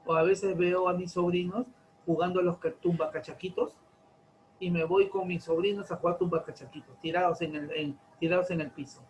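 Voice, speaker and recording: A man, lecturing teenagers in class, slow and deliberate, stretching key terms and asking many rhetorical questions. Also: high-pitched, fairly even intonation, slight background noise, low-quality recording. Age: 40s. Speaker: teacher.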